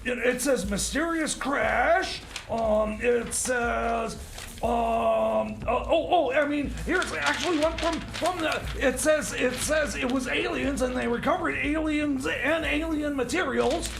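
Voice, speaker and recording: A man acting as a grumbling, defensive time machine mechanic for radio comedy. The voice sits extremely high for a man and crackles with fry. He stammers and rambles, hesitating as he goes. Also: slow pace, heavily acted. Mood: flustered, rambling. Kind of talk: improvised-sounding comedy radio theater.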